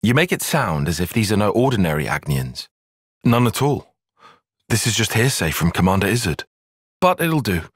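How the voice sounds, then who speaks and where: An actor playing an earnest, cerebral young soldier and tactician in a video game, with a British accent, articulate formal phrasing and a slightly husky voice. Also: male voice, lowish voice, very animated, normal speed, no echo, studio quality. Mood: measured, analytical. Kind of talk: scripted video game cutscene acting.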